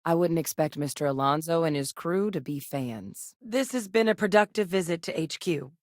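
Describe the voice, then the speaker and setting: An actress voicing a composed adult woman, a scientist and soldier in a game, speaking in a measured, earnest, matter-of-fact way. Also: low-pitched, expressive, darkish tone, no echo, studio quality. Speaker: video game character. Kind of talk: game voice lines. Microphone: close studio mic.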